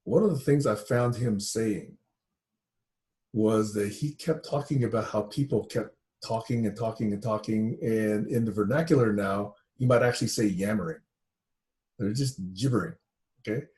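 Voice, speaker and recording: A man from Taiwan sharing thoughtful stories in a relaxed group conversation, with pauses and fillers as he goes. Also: on the low side. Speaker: discussion participant.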